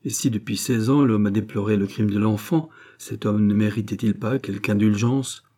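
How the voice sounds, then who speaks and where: A man with a husky voice reading the part of an intense male doctor who forces his will on a countess, declaiming with rising fervour. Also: on the low side, fairly even intonation, moderate pace, mellow, close to an everyday voice. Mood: pleading. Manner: commanding, rising to fervent declamation.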